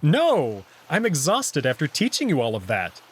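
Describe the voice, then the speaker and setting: A man's voice: a little high, huge pitch swings, normal speed, husky. A man reading scripted first-person story narration from a visual novel, with acted dialogue. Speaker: narrator and voice reader.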